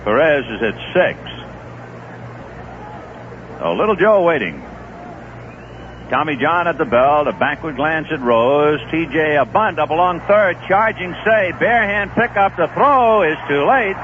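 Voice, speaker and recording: A man's voice: on the high side, a little slow. A man doing live play-by-play baseball commentary on the radio, his voice climbing with the big plays. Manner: lively, rising with big plays. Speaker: play-by-play announcer. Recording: tinny, noisy 1970s radio broadcast.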